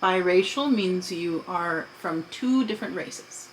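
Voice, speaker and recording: A woman's voice: fairly low pitch, measured pace, slight background noise, rough recording. A woman casually explaining things to a child in simple words, patient and encouraging. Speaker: adult helper prompting a child reader.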